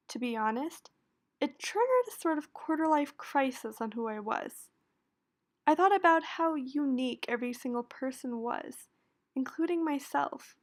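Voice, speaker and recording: A young American woman giving an earnest, prepared personal talk about her own story. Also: high-pitched. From United States. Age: young adult. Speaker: student speaker.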